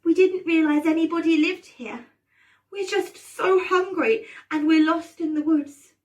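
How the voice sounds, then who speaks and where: A woman as a high-voiced pleading child. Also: darkish tone. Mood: apologetic pleading. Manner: pleading, drawn-out. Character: two lost, hungry, apologetic young children.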